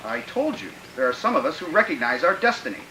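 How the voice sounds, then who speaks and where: Megalomaniac male villain, radio play. Highish, theatrical, menacing; echoey recording with some hiss. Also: sing-song, fast talker, sharp tone. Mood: smooth, scheming. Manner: grandiose, theatrical declaiming. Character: megalomaniac male dictator's schemer, grandiose and menacing. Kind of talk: old-time radio drama acting.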